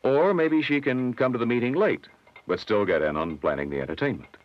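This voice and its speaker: An American man narrating a scripted 1960s educational film in a measured, instructive manner. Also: mid-range voice, lively intonation. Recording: dull, lo-fi old film soundtrack.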